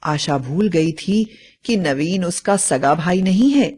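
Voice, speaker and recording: A woman's voice: on the low side, lively intonation, takes it slowly, darkish tone, slightly rough. A woman narrating a scripted story as a storyteller.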